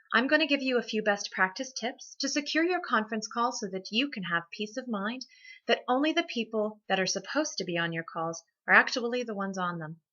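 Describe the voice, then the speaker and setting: A woman's voice: medium pitch, pushed and bright, dull audio. A woman hosting a scripted instructional segment, presenting in a structured way with emphasis on key points. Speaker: host of a business tips segment.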